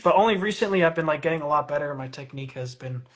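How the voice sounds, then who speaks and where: A man's voice: on the high side, racing. A young man hosting a casual phone-streamed interview, hesitant and full of fillers. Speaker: interviewer host. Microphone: phone on a live stream.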